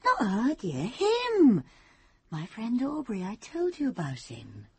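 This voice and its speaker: A woman's voice: on the high side, huge pitch swings, slow pace, a little mellow, husky, heavily acted. An actress with a British accent playing a chatty, flustered, affectionate middle-aged mother in a radio drama. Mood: flustered, coy.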